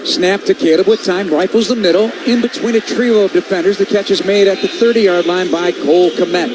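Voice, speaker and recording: A man with a high-pitched voice calls live football on the radio in clipped, vivid phrases. He works from a stadium booth with clear background noise behind him. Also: brisk. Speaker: football play-by-play announcer. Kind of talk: live radio play-by-play commentary.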